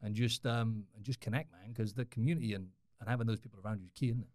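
Quick Welsh podcast host. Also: male voice, fairly low pitch, darkish tone, no room sound, studio quality. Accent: South Wales. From Cardiff, Wales. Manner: matey, addresses listeners directly, tag questions. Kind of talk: casual podcast conversation.

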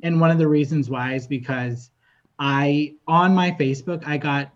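A man's voice: fairly high pitch, fairly even intonation, normal speed, muffled recording. A man from Texas, a guest on a comedy podcast, telling casual, opinionated anecdotes.

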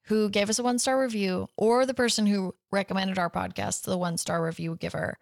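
A chatty woman co-hosting a book podcast. She talks in a loose, conversational way at a middling pitch, recorded close and dry with no room sound. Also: fairly even intonation, vocal fry, no room sound. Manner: chatty, quick to laugh along. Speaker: podcast co-host. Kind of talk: casual chat.

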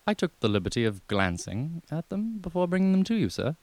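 An actor playing a discreet, deferential valet in a radio comedy, with a formal, measured and politely understated manner. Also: mid-range voice, big pitch swings, a little mellow, no echo. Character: discreet, deferential valet, unflappable and quietly firm. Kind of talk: radio comedy drama acting.

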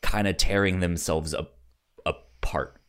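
A man hosting a podcast, chatting casually about a film in a halting, stammering way that often repeats words. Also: sits low, fairly even intonation, fairly bright tone, smooth. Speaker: podcast host.

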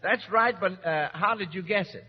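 A man's voice: high voice, moderate pace. A man hosting an old-time radio quiz show in a folksy, hesitant, avuncular manner. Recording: muffled old radio recording, reverberant, faint noise.

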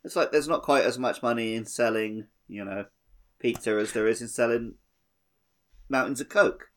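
A British man co-hosting a gaming podcast, chatting casually and riffing on jokes in an animated way. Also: mid-range voice, normal speed. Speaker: podcast co-host.

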